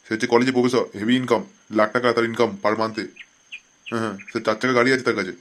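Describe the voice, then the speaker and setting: A man's voice: mid-range voice, flat delivery, quick pace, fairly bright tone, slight background noise, natural voice. A man voicing an angry, scheming middle-aged father in a comic cartoon, blustering and full of crude threats. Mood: persuading.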